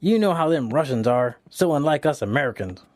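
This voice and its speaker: An actor playing an upbeat, hurried police officer with a folksy way of talking. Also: male voice, a little high, expressive, dry recording, close to an everyday voice. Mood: eager. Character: eager, chatty male police officer. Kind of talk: audio drama acting.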